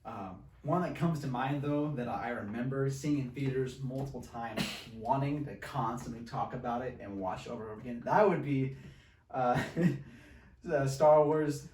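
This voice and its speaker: A man's voice: medium pitch, normal speed, a little mellow, slight background noise. Slangy young man, roomy distant sound. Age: 20s. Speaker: film podcast co-host. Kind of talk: casual chat. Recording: roomy echo, distant from microphone.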